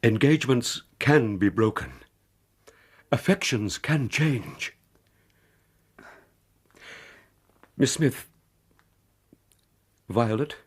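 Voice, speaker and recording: An actor playing a polite, earnest suitor in a radio drama, formal and insistent in manner, with a husky voice. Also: male voice, fairly low pitch, sing-song, slow pace, pauses often, exaggerated voice. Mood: pleading.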